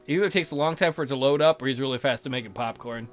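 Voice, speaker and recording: A man's voice: medium pitch, racing, faint music behind. A young man commentating on a visual novel playthrough, with crude, mocking, exclamatory asides. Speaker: gaming video host. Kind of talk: let's play commentary, reading a visual novel aloud.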